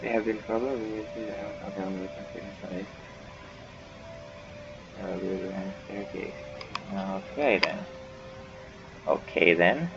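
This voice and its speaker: A man giving casual playthrough commentary with a gravelly voice, laughing easily, muttering to himself and swearing. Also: deep voice, steady tone, unhurried, pauses often. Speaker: gamer playing a horror visual novel. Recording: muffled microphone with heavy background noise.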